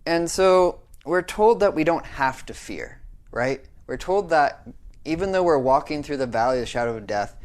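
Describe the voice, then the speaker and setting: A man's voice: on the high side, expressive, moderate pace. A young American man giving a short devotional talk in an earnest, conversational way, often checking in with "right?". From United States.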